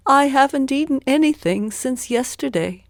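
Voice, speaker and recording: Woman putting on a high-pitched pleading poor beggar man. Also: expressive, moderate pace, no echo, studio quality. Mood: polite pleading. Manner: pleading, polite. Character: poor hungry man begging politely by the road.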